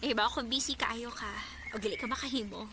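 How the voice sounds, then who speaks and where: Woman acting a caring sweet wife, high voice, cebuano accent, bisaya radio drama, noisy audio. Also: fast talker, natural voice. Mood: sweet, understanding. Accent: Filipino, Cebuano Bisaya.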